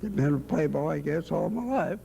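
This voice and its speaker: A man's voice: on the high side, expressive, a little fast, gravelly, dark tone, a little hiss or hum, rough recording. A man of ninety-five answering casually in an interview as a retirement home resident.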